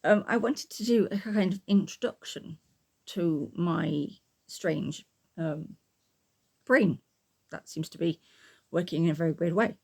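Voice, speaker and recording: A middle-aged woman, croaky from a frog in her throat, chatting to camera in a rambling way full of ums and throat clearing. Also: fairly low pitch, measured pace, pauses often, darkish tone. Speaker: book and art YouTuber. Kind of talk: casual chat to camera.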